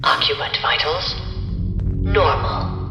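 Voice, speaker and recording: A man voicing an impassive automated vault announcement system in a game, flat and procedural. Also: a little high, slow, highs cut off, music in the background. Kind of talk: automated public address announcement. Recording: echoey distant speaker system.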